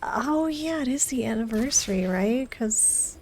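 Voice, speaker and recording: A woman's voice: on the high side, lively intonation, measured pace, a little mellow. A woman giving casual gameplay commentary as a streamer, musing aloud in short reactions. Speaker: game streamer.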